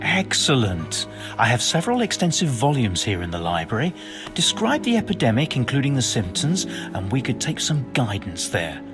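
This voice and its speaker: A learned monk physician from a video game, whose pitch swings expressively as he lectures. He is pompous, bookish and a little absent-minded, yet well-meaning, with faint music underneath. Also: huge pitch swings, normal speed. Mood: scholarly, brisk. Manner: bookish, wordy and formal. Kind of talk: video game cutscene acting.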